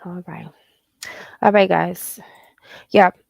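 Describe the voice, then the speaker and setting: A woman's voice: fairly low pitch, steady tone, takes it slowly, gravelly, no room sound, lo-fi sound. A woman in her forties hosting a casual livestream, talking in a blunt, profane and rambling way and reading out viewer comments. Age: 40s. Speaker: livestream host.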